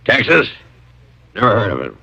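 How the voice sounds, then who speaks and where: Big fifties cattleman, creaky forceful voice, blunt and folksy, vintage radio play. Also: fairly low pitch, huge pitch swings, moderate pace, full character voice. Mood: dryly amused. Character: blunt wealthy Oklahoma cattle rancher, big, fifties, bachelor. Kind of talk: 1940s-50s radio drama acting. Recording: old radio recording.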